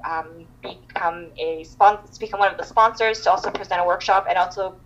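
A woman's voice: on the low side, fairly quick, lo-fi sound. A woman from Canada, a guest on a podcast, talking casually with lots of fillers and restarts. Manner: chatty, many fillers and restarts. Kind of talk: casual interview chat.

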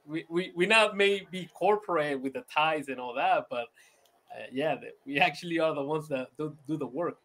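A man's voice: on the high side, normal speed, fairly bright tone. Laid-back Venezuelan-born man, chatty. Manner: laid-back, laughs often, many "you know" fillers and restarts. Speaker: podcast guest. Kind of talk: casual interview chat.

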